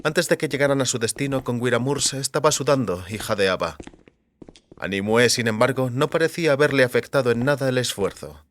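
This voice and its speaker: A man reading scripted literary narration for an audiobook with measured, deliberate phrasing. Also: medium pitch, animated. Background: faint music.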